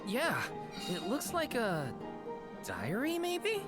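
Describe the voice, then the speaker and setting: A man's voice: very high-pitched, wildly swooping pitch, takes it slowly, heavily acted. A man voicing an earnest, caring, conscientious young hero in a fantasy video game. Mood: hesitant, curious. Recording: game audio with music behind. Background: game music.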